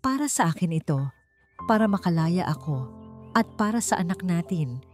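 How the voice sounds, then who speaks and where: A woman narrator plays a young mother, hurt yet resolute, in a romance audio story. She speaks softly and firmly in a lowish voice, over faint music. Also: lively intonation, moderate pace, natural voice. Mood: quiet, resolute. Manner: soft-spoken, firm. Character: wounded, resolute young mother, quietly forgiving. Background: background music.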